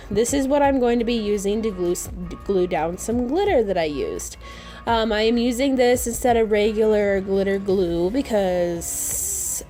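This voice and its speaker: A woman's voice: mid-range voice, dark tone. A woman narrating a casual makeup tutorial in a playful, gushing, informal way.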